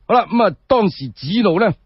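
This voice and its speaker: A male Cantonese storyteller narrates in a high, very lively voice with a husky edge. He is colloquial and expressive, breaking off for chatty asides. The radio recording is dry and a little dull. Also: no echo.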